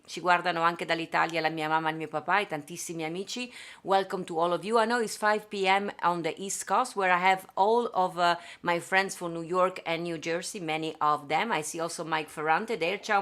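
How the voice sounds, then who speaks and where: A woman's voice: mid-range voice, fairly bright tone. A woman from Venice with an Italian accent, hosting a casual livestream and greeting viewers effusively. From Italy (Venice). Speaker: singer and livestream host. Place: home studio.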